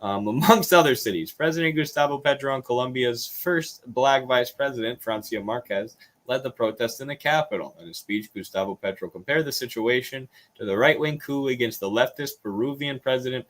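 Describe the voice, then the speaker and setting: A man's voice: mid-range voice, fairly quick, a bit bright. American man giving informal political commentary. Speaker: political livestream co-host. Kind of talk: informal news commentary, partly reading aloud.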